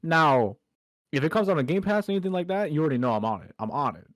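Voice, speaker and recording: A man co-hosting a casual gaming podcast, relaxed and enthusiastic as he chats. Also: fairly high pitch, quick pace, slight background noise. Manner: relaxed, enthusiastic about games. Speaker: podcast co-host.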